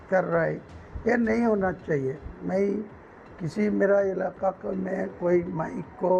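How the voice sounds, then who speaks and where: Creaky, high-pitched man, Bengali accent, halting. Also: a little mellow. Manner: halting, broken phrasing. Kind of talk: spontaneous press statement. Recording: dull, noisy location sound.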